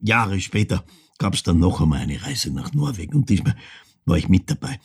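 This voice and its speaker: An actor playing a haunted older man with an Austrian accent, telling of his mother in a halting, intimate theatrical monologue with a husky, creaky voice. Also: sits low, sing-song, exaggerated voice. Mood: wistful. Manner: halting, brooding, shifting into whispers.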